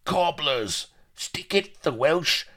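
Rowdy pirates, pitched up. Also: male voice, animated, slow, bright, forceful tone, exaggerated voice. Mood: jeering. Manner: bawling, roaring. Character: rowdy mob of bawling male pirates.

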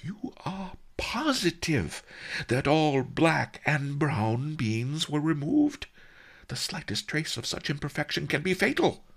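A man's voice: on the high side, very animated, takes it slowly, vocal fry, fairly bright tone, husky, voice pushed up, exaggerated voice. A man voicing a huge, gluttonous merchant in a storybook, gasping, anxious and fawningly polite. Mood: anxious, contrite. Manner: gasping, breathless, deferential.

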